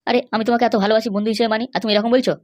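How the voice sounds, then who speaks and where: A woman's voice: fairly high pitch, little pitch movement, darkish tone, no room sound, close to an everyday voice. A woman voicing a scheming, pleading young runaway man in a comedy cartoon, with a pushy, wheedling manner. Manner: wheedling, pushy, fast-talking.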